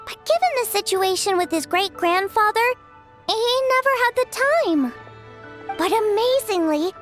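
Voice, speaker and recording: Bubbly high-pitched teenage game girl. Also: exaggerated voice. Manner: bubbly, animated, casual. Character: cheerful, chatty teenage girl, friendly and teasing. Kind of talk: video game voice acting. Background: music.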